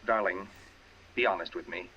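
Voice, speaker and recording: A man's voice: low voice, normal speed, breathy edge. A man acting as an earnest, hesitant, uneasy husband in a 1940s radio mystery. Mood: uneasy, pressing. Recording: dull vintage radio recording.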